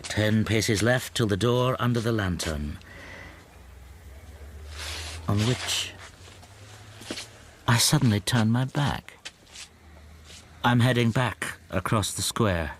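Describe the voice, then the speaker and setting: An actor playing a mild, lonely, wronged bookseller in despair, halting and introspective in a radio drama. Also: male voice, fairly low pitch, a little hiss or hum. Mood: brooding, wistful. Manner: introspective, halting, talking to himself. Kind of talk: radio drama acting with interior monologue.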